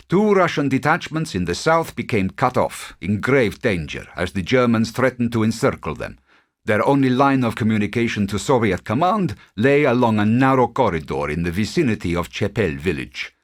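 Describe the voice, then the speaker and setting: A man narrating a war game cutscene in a grave, measured, scripted documentary style. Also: on the low side. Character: solemn male narrator of a war campaign.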